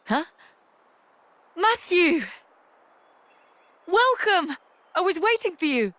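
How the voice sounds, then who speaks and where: An actress playing a gentle, dreamy and cheerful young caretaker in a video game cutscene. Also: female voice, extremely high pitch, sing-song, takes it slowly, exaggerated voice. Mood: joyful, dreamy. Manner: gentle, welcoming.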